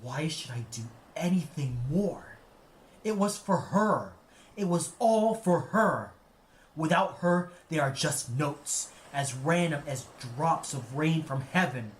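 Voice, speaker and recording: A man's voice: a little high, lively intonation, slow pace, voice pushed up. A man playing an anguished, defiant composer and grieving father in an audio play, with an emotional, emphatic delivery. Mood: angry, desperate. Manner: emotional, emphatic, swinging from mutters to cries. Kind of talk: audio play character acting. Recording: echoey, with noise behind.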